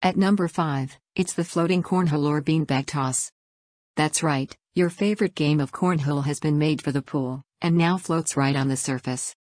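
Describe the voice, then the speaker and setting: A woman's voice: low-pitched, moderate pace, a little mellow, no echo. A woman reading an upbeat, scripted promotional voice-over as a website host. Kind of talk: scripted product narration.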